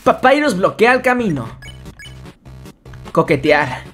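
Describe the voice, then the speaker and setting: A woman giving casual, slangy gameplay commentary and reading game text aloud, in an excitable way. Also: mid-range voice, takes it slowly, soft background music. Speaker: Let's Play gamer.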